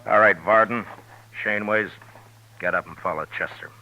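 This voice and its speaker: An actor playing a steady, stern middle-aged frontier marshal in a 1950s radio drama, calm, firm and measured. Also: male voice, on the low side, slight background noise, lightly acted. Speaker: lead and narrator. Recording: dull old radio broadcast with music.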